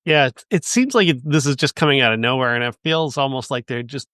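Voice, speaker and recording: A man's voice: mid-range voice, fast talker, fairly bright tone, no echo, studio quality. A man co-hosting a film podcast, chatting upbeat, laughing often, with filler ums. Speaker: podcast co-host. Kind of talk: casual film chat.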